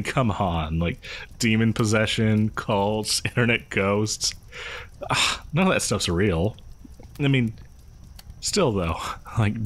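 A man playing a chatty, creepily friendly killer posing as a partygoer in a horror audio roleplay, rambling hesitantly and conspiratorially with chuckles. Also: fairly low pitch. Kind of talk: horror audio roleplay, casual storytelling to listener.

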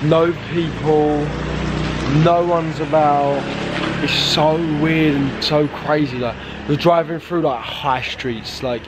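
Young man over loud street noise. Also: a little high, steady tone, slow. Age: 20s. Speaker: travel vlog companion. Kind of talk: casual chat, swearing. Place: outdoors on a street at night. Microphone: camera at arm's length. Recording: very noisy street, handheld camera.

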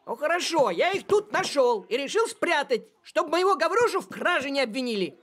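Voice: sits high, big pitch swings, brisk, a bit bright. An actor voicing a fussy, practical male talking cat in a cartoon, huffy and protective. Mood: defensive. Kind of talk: animated cartoon dialogue.

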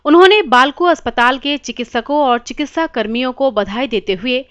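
A woman narrating a scripted news report in a formal, measured reading style. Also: high-pitched, lively intonation, fairly bright tone, smooth, dry recording, muffled recording.

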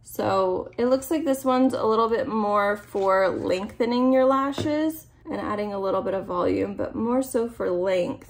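A woman's voice: fairly high pitch, a little hiss or hum. A young woman vlogger with a slightly nasal voice from a cold, chatting in an upbeat way as she unboxes makeup in her bedroom. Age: young adult. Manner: chatty and upbeat, often gushing over products. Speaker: beauty vlogger. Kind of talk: casual makeup unboxing chat. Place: bedroom, indoors.

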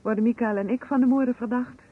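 Actress as cool, husky-voiced radio suspect. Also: female voice, fairly high pitch, steady tone, fast, lightly acted. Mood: guarded, questioning. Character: cool, guarded married woman under suspicion. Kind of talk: Dutch radio drama acting. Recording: tinny old radio recording.

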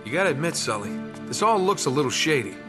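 A man's voice: fairly high pitch, sing-song, fast. A wry young american treasure hunter guy, casual, voice moves around a lot, game cutscene w/ music under it. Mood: suspicious. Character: wry, easygoing young male treasure hunter, quick to exasperation. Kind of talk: naturalistic cinematic video game acting. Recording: music behind the dialogue.

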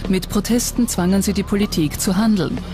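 A documentary narrator delivers a scripted report. The voice sits at a middling pitch. Music plays clearly behind the narration. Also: a little fast. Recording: music bed under the voice.

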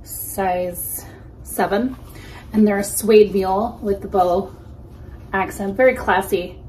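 Woman in her 40s, easygoing reseller vlog host, mid-pitched, faint room hum, desk-distance camera mic. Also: slow pace, darkish tone. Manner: chatty, easygoing, frequent ums. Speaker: reseller vlogger. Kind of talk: casual unboxing commentary. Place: small indoor room against a plain wall.

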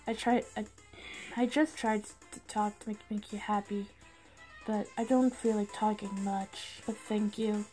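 Woman putting on a quiet, downcast cartoon rabbit dad over music. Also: mid-range voice, fairly even intonation, voice pushed down, natural voice. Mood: downcast, reluctant. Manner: quiet, reluctant, halting. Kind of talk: amateur comic dub. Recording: background music under the voice.

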